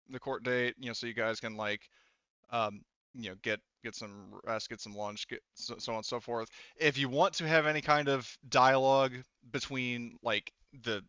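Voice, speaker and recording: A man speaking casually and haltingly as he narrates and runs a tabletop roleplaying game as game master. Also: mid-range voice, sharp tone, smooth, dry recording, highs cut off. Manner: halting, conversational, casual swearing.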